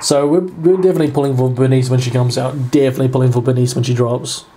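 A man's voice: mid-range voice, steady tone, fast, warm tone, a little hiss or hum. A man giving casual live reaction commentary, stammering and repeating his phrases. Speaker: reaction video host. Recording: music playing behind the voice.

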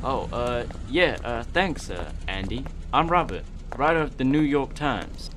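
An actor playing a polite, earnest young American newspaper correspondent in a wartime radio drama. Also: male voice, mid-range voice, big pitch swings, a little slow, background noise. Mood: nervous, polite. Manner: polite, hesitant. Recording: faint music behind the voice.

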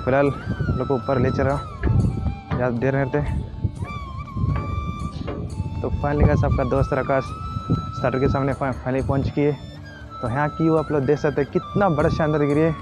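A young man touring a dam, talking fast and repeating himself as he films himself outdoors with a phone held at arm's length. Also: fairly high pitch, huge pitch swings, loud music behind the voice, rough recording. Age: young adult. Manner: hurried, repetitive, keeps urging viewers along. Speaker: travel vlogger. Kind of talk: casual selfie vlog tour. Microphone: phone camera at arm's length.

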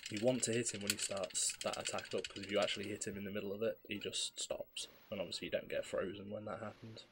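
A man, slightly hoarse with a cough, calmly explaining his speedrun as he plays. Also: lowish voice, quick pace. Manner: relaxed, explanatory, self-deprecating. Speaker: speedrunner commentating his own run. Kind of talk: live gameplay commentary. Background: faint game music.

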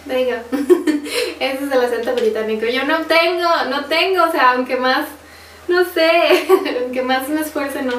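A woman's voice: sits high, measured pace, a bit bright. A young woman with a Mexican accent, casually chatting and laughing often as she answers viewer questions on a sofa at home. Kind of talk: casual Q&A chat. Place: living room, on a sofa. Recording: roomy, slightly distant, faint hiss.

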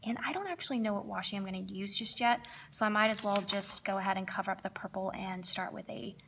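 Woman, planner vlogger, upbeat chatty voice-over, slightly froggy, gravelly edge. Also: mid-range voice, fast, a bit bright, slight background noise. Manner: chatty, upbeat, lots of fillers. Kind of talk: casual voice-over plan with me.

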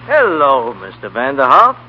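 An actor playing a pushy, scheming young underling in 1930s radio style. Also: male voice, medium pitch, huge pitch swings, measured pace. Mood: smug. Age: young adult. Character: pushy, scheming underling who grovels to his boss. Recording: muffled old radio broadcast.

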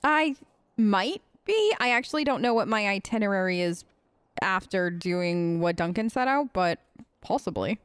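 A woman's voice: mid-range voice, animated, normal speed, smooth, no echo. A woman role-playing casually through a video game, chatty, earnest and informal. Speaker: let's play host role-playing as an elf character. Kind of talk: casual improvised role-play game commentary.

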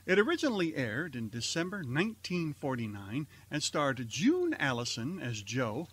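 A man's voice: medium pitch, sing-song, normal speed, fairly bright tone, slightly rough. A man hosting an online radio theater show, giving a scripted introduction. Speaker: host and announcer of an amateur online radio theater.

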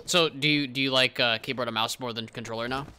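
A young adult woman chatting casually and playfully while gaming online, animated and encouraging. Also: very deep voice, quick pace, clean tone. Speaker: gaming video creator. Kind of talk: casual chat during online gameplay. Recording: noisy game audio, keyboard clicks.

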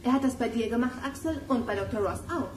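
A woman's voice: on the high side, expressive, quick pace, a little mellow, lightly acted. A woman playing a sensible, analytical girl detective in a children's audio drama, matter-of-fact and decisive. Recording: echoey room, faint noise.

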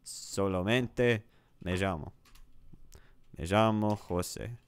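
Deep slow-talking man repeating spanish. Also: slight background noise. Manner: repeats app sentences aloud, chuckling, self-correcting. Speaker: streamer learning Spanish. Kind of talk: casual commentary while playing a language app.

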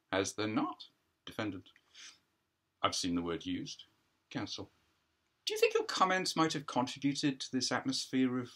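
Older man, dramatic script read-through, speaker labels read aloud, bright forceful tone, slightly rough voice. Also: mid-range voice. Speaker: writer reading his play aloud.

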